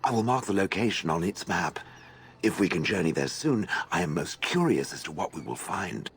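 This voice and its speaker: Deep, haughty stone golem. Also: male voice, big pitch swings. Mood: pensive, formal. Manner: formal, detached, deliberate. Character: huge ancient stone golem, haughty and dry. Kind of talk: video game character acting.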